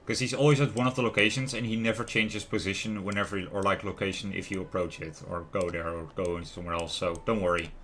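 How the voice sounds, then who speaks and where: Low, bright-voiced man musing over a game. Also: fairly even intonation, quick pace, few pauses, slight background noise. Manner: musing aloud, trailing off and questioning himself. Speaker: livestream host playing a video game. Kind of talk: casual gaming livestream commentary. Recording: music playing behind the voice. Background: lo-fi music, keyboard typing and clicks.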